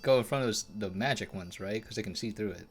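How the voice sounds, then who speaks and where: A man's voice: lowish voice, quick pace, faint music behind. A man live-streaming a video game with casual, rambling, sweary commentary.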